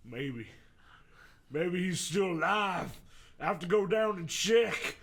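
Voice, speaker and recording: Man acting a high, overwrought, anguished hero. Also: lively intonation, fairly bright tone, full character voice. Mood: desperate. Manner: overwrought, drawn-out wailing. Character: Steve, anguished, devoted young hero and dog lover.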